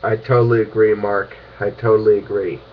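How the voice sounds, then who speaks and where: A man's voice: on the low side, little pitch movement, a little fast. Young man on muffled ham radio. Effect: two-way ham radio. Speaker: amateur radio operator. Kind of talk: casual radio chat. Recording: muffled radio audio, faint noise.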